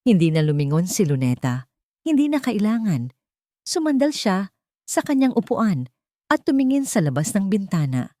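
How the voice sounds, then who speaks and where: A woman with a Filipino accent narrates a scripted dramatic story in short, measured, sentimental phrases. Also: medium pitch, sing-song, dark tone, dry recording, clean studio sound.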